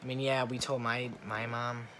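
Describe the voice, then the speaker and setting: A man's voice: mid-range voice, level tone, normal speed, noisy background. A young man talking casually as he co-tells a personal story on a vlog. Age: young adult. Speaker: vlogger, new father.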